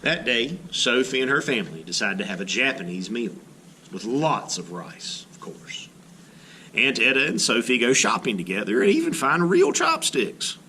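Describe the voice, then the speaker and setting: A man reading a children's story aloud as a teacher, in an expressive narrating style. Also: medium pitch, moderate pace, a little hiss or hum.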